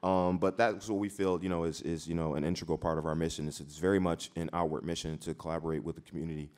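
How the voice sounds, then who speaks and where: A man's voice: deep voice, level tone, a little mellow. A man from Detroit speaking as a panelist, hesitant and full of fillers. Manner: halting, many fillers and repeats. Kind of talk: panel discussion.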